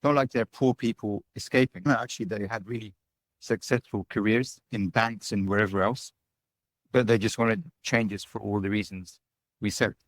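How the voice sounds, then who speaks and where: A man's voice: on the low side, fairly even intonation, moderate pace, no echo. Man answering interview questions, chatty. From United Kingdom. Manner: chatty, tags points with "right?" and "you know". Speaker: guest, digital nomad and business owner.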